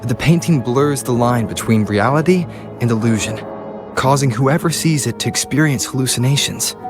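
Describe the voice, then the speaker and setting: A man voicing a calm, observant, analytical investigator in a video game, speaking in a measured, explanatory way. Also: mid-range voice, close to an everyday voice. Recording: game music behind the voice.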